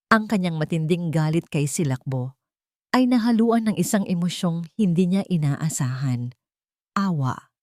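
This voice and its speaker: A woman's voice: low-pitched, darkish tone, no room sound, studio quality. A woman with a Filipino accent narrating a scripted dramatic story as an audiobook narrator.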